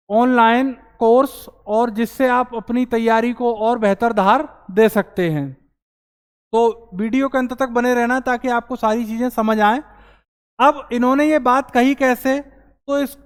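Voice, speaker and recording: A man's voice: very high voice, smooth. Earnest male coaching teacher, reverberant room. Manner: earnest, explanatory, slows down to stress points. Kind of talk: explaining exam news to students.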